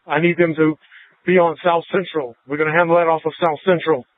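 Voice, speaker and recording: Halting male officer on police radio. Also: on the high side, level tone, no room sound. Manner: halting, searching with many fillers. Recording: tinny dry police radio.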